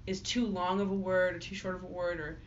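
A woman's voice: on the low side, little pitch movement, a little fast. A young woman, a singer-songwriter, chatting casually in an interview and filling her answers with "like". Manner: chatty, many fillers. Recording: dull lo-fi audio with faint noise.

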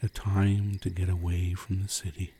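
Deep, mellow man guiding sleep meditation. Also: flat delivery. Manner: slow, soothing, hushed delivery with long pauses. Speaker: guided meditation narrator. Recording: campfire and cricket ambience, faint noise. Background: crickets and crackling fire.

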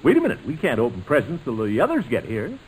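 An actor playing a pompous, jovial, sentimental middle-aged uncle in a 1940s radio comedy, with a theatrical delivery. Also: male voice, mid-range voice, wildly swooping pitch, fast talker, a little mellow. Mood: jovial, festive. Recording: noisy old-time radio recording.

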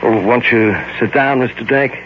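Man playing measured, formal doctor, dull old radio. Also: mid-range voice, background noise, natural voice. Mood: calm, reassuring. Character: composed, paternal psychiatrist treating a patient. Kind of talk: 1940s radio drama acting. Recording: dull old radio recording, faint music.

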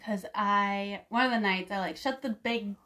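A woman's voice: mid-range voice, moderate pace, sharp tone. A woman in her thirties with a slightly stuffy voice, co-hosting a podcast in loose, unscripted conversation peppered with "like". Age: 30s. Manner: frequent "like" filler. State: slightly congested. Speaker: podcast co-host. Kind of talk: casual unscripted chat.

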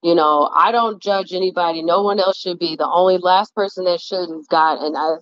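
A woman guest on a podcast with a deep, smoky voice, talking earnestly in casual conversation. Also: no echo. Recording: dry, dull lo-fi audio.

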